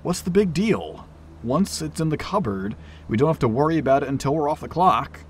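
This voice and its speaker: A man's voice: a little high, lively intonation, a little fast, slight background noise, lightly acted. A man playing a friendly, chatty train attendant in an audio drama, with a casual, teasing manner. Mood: breezy, casual. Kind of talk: naturalistic audio drama acting.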